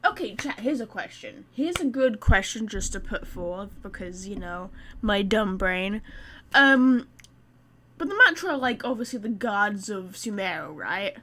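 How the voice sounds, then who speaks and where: A woman's voice: mid-range voice, animated, unhurried, sharp tone. A woman live streaming a game, chatting informally to her viewers with wry, casual commentary.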